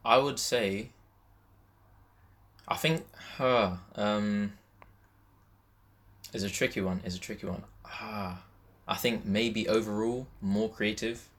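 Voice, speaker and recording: A man's voice: lowish voice, fairly even intonation, slow pace, long pauses, fairly bright tone. A young man speaks. His talk is casual and slangy, and he thinks aloud, circling back to restate his point. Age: young adult. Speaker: podcast co-host, university student. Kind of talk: casual chat.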